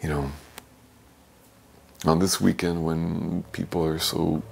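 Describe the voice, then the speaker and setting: An older man, a priest, giving a homily in a slow, gentle, reflective way, sometimes dropping to a soft whisper, from a small office. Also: sits low, flat delivery, gravelly, husky. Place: small office with bookshelves, indoors.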